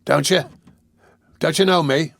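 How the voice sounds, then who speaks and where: A man voicing a brutal, desperate murderer in a storybook reading, speaking roughly and urgently. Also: a little high, steady tone, unhurried, no room sound, clean studio sound, voice pushed up. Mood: pleading. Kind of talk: audiobook character voice.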